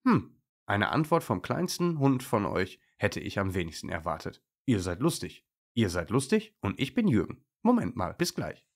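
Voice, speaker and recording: A friendly, talkative male nutria from a children's story, played by a man. He explains things cheerfully and knowingly in a low voice with a gravelly creak. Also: huge pitch swings, normal speed, heavily acted. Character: cheerful, chatty male nutria, friendly local know-it-all.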